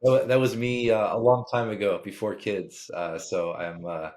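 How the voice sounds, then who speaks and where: A middle-aged man hosts a podcast with a fairly low voice. He sounds upbeat and friendly as he opens the episode and poses questions, with a few "um"s along the way. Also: flat delivery, moderate pace, a little mellow. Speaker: podcast host.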